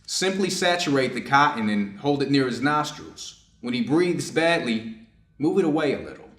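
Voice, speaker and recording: A man's voice: mid-range voice, big pitch swings, moderate pace, lightly acted. A man playing a stern, conflicted Japanese doctor treating a wounded enemy in a staged play reading. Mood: brusque. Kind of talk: staged readers' theater acting. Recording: echoey room.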